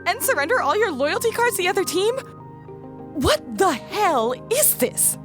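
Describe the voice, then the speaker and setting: An actress playing a brisk, competitive woman in an audio drama, sharp and combative. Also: very high voice, big pitch swings, music in the background. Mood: brisk, incredulous. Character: brisk, competitive female game player.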